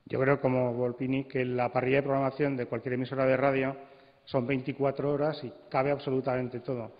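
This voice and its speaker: A man's voice: medium pitch, little pitch movement, fairly quick. Man making a case in an echoing hall. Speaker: conference panelist. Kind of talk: persuasive panel talk. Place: conference hall, amplified event. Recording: dull, reverberant hall recording.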